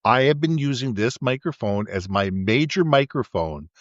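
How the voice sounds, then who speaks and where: A man co-hosting a casual podcast, talking at length in a booming voice, with frequent restarts, into a USB mic kept a little away from his face. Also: lively intonation, moderate pace, dry recording, dull audio. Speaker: podcast co-host. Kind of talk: casual chat. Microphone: USB condenser mic with windscreen, held back from the mouth.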